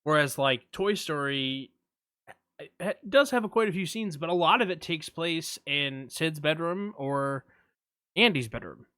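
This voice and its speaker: A man's voice: on the high side, lively intonation, measured pace, pushed and bright, smooth, no room sound, studio quality. A man co-hosting a casual film podcast, reacting with short agreements and adding his own points. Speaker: podcast co-host.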